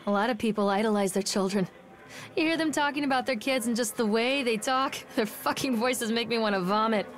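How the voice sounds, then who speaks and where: A woman's voice: a little high, fairly quick, a little hiss or hum, natural voice. An actress playing a bitter, unravelling young mother searching for her lost son in a horror game, speaking in a confessional way. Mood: scornful. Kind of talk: first-person horror game monologue and dramatic acting.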